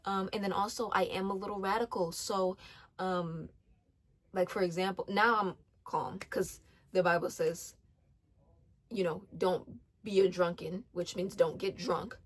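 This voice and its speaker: A woman's voice: on the low side, fairly even intonation, measured pace. A twenty-year-old woman giving casual faith-based advice, earnest, with many ums, restarts and repeated phrases. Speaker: faith vlogger.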